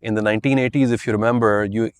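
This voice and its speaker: A man's voice: fairly low pitch, steady tone, fast talker, slight background noise. A man in his fifties, originally from Pakistan, telling his life story as a podcast guest and loosely filling his talk with "you know" and "I mean". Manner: rambling, many "you know" and "I mean" fillers. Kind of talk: relaxed interview answers.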